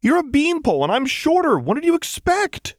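A man's voice: very high-pitched, wildly swooping pitch, brisk, dry recording, studio quality, voice pushed up, exaggerated voice. A man voicing a small, indignant but affectionate young woman, huffy and defensive, in a story reading.

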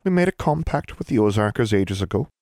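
A man's voice: lowish voice, sing-song, fast talker, darkish tone, no room sound, studio quality, voice pushed up. A man voicing a crafty, proud old male hill elf in an audiobook, with formal, old-fashioned phrasing. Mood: formal, wary. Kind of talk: audiobook character voice.

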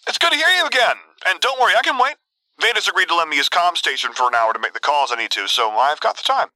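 A man voicing a calm, polite and friendly young man in an audio story. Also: medium pitch, quick pace, dry recording, voice pushed up, low-quality recording. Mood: delighted.

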